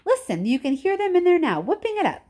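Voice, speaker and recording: A woman's voice: very high voice, sing-song, fast talker, mellow, voice pushed up. A woman voicing an eccentric, impatient and boastful male candy-factory owner in an exclamatory storybook reading. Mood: excited. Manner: exclamatory, theatrical. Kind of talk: children's storybook character reading.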